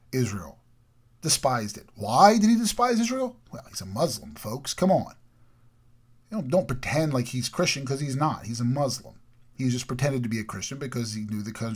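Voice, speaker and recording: Emphatic man with lively pitch swings. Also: breathy edge. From United States. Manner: emphatic, repetitive, swings between loud and quiet. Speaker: political commentary host. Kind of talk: opinionated political monologue.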